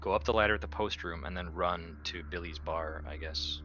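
Male tabletop player: low, fast, casual, on a slightly dull lo-fi track. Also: pushed and bright. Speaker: player in a tabletop roleplaying game. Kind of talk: casual chat, working through game plans.

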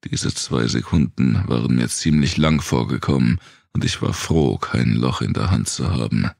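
A man narrating a dark post-apocalyptic thriller audiobook in the first person, reading from a script. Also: a bass voice, expressive, normal speed, creaky, no echo, clean studio sound.

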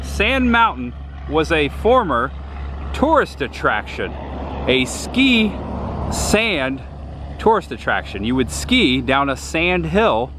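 A man's voice: on the high side, sing-song, takes it slowly. A man, narrating a travel vlog to a handheld camera while exploring roadside spots. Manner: chatty, enthusiastic, pointing things out. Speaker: travel vlogger. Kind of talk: casual on-the-go narration. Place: outdoors by roadsides and in a small diner. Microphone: handheld camera at arm's length. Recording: outdoor traffic noise behind.

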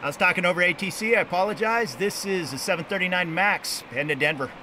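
A man's voice: high voice, quick pace. A man giving casual live plane-spotting commentary outdoors near an airport. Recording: noisy outdoor camera audio.

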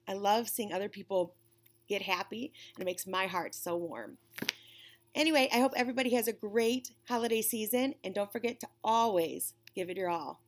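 A woman in her forties reading a children's storybook aloud, with an expressive, deliberate storytelling delivery. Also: medium pitch. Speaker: children's storybook reader, teacher. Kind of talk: read-aloud for young children. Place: classroom, indoors.

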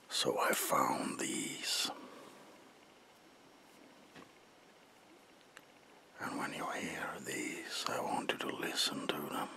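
Casting: melancholy, paranoid vampire ghost played by a man, sharp breathy whisper, very slow, theatrical ASMR delivery close to the mic. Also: rumbling low, exaggerated voice. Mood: soft, soothing. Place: small dim indoor room.

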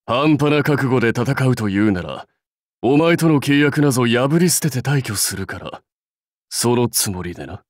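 An actor playing a proud, fierce warlord general in a mobile game, terse, rough and commanding. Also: male voice, medium pitch, lively intonation, moderate pace, dry recording, lightly acted. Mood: stern, confident. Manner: terse, rough, declamatory delivery. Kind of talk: anime-style mobile game battle and dialogue voice lines.

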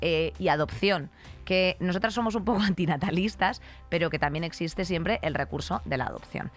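A woman's voice: on the low side, normal speed, faint music behind. A young woman with a Spain accent chatting casually as a podcast co-host, close to a studio mic. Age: young adult. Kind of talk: casual chat. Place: small radio studio. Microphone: close boom-arm studio microphone.

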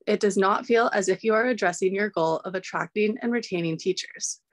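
A woman's voice: medium pitch, a little fast, no room sound. A young woman, a college student, earnestly reading a prepared public comment over a video call. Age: young adult.

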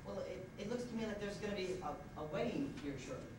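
A man's voice: high voice, lively intonation, fast. A young man playing a bewildered, polite, reluctant bridegroom in an amateur stage comedy, hesitant and stammering. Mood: baffled. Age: young adult. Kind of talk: amateur one-act stage comedy acting. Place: theater stage, recorded from the audience. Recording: echoey hall from far off, hissy tape.

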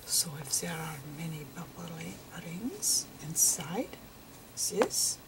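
A woman voicing Einstein as a gentle, eccentric old physicist in a whispery, halting ASMR lecture with repetitive professorial asides. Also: fairly low pitch, sing-song, noisy background, exaggerated voice. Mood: hushed, explanatory.

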